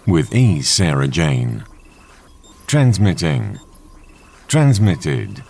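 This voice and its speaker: A man playing a calm, courteous male supercomputer in an audio drama. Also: a bass voice, drawn-out words, vocal fry, soft background music, full character voice. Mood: measured, grateful. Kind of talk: fan audio drama acting.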